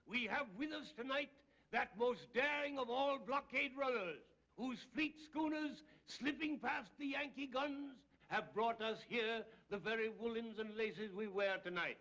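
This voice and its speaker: A pompous older orator, high-pitched and declamatory with florid phrasing. Dull old film sound with crowd ambience. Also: male voice, measured pace, pushed and bright, exaggerated voice. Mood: grandiloquent.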